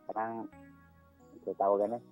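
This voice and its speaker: A lower-pitched man chats as a radio caller. He halts and hunts for words on a muffled phone line. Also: flat delivery. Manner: halting, searching for words. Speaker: radio show caller requesting a song. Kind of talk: casual phone chat. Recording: dull lo-fi phone call on radio. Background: faint music.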